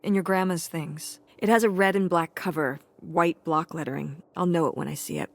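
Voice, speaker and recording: A woman's voice: fairly low pitch, steady tone, normal speed, creaky, no echo. A woman voicing a tired, wry middle-aged mother in a naturalistic video game. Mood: dry, matter-of-fact.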